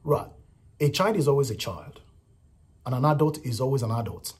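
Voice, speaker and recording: Nigerian-accented male vlogger, very raspy voice, repetitive emphatic opinion delivery. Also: mid-range voice, animated, normal speed, a little mellow. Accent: Nigerian English. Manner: repetitive, emphatic, frequent "you know" and "right". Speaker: vlogger commenting on a viral clip. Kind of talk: casual opinion commentary.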